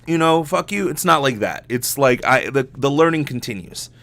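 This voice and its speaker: A man chatting casually as a solo podcast host, rambling and animated, swearing freely and often interrupting himself. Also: mid-range voice, moderate pace.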